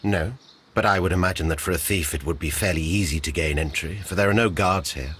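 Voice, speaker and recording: An actor playing a curt, bossy deputy director of botanic gardens in a video game, officious and formal. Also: male voice, deep voice, fairly quick, a bit bright, husky. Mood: matter-of-fact.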